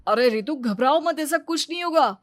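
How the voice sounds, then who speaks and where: A woman's voice: high voice, expressive, normal speed, a little hiss or hum, pitched above the performer's own voice. A woman voicing a caring young husband in a family story, coaxing and reassuring, easily flustered. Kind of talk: dramatized Hindi family moral story.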